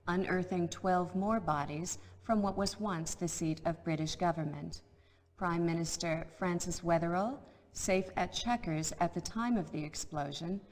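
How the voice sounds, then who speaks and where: An actress playing a serious television news anchor in a video game cutscene, reading in a measured, formal way. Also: female voice, fairly low pitch, big-room sound, lightly acted.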